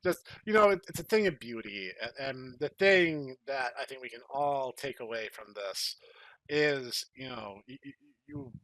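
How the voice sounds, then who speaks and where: A man's voice: mid-range voice, expressive, moderate pace, fairly bright tone, no echo, poor audio. A man hosting a podcast in casual chat, with a jokey, playfully theatrical way of phrasing things. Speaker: podcast host.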